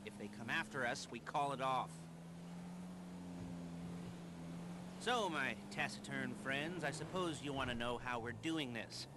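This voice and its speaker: An actor playing an awkward, neurotic middle-aged criminal heist planner in a video game, with an American accent and a halting, nervous, wry delivery. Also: male voice, medium pitch, heavy background noise, lightly acted. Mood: brisk, wry. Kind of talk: video game cutscene acting.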